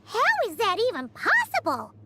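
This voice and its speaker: A woman voicing a tiny, childlike fairy companion in an anime-style game, squeaky, excitable and easily confused. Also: moderate pace, loud music behind the voice, exaggerated voice. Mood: baffled, excited. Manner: squeaky, exclaiming, refers to self in third person.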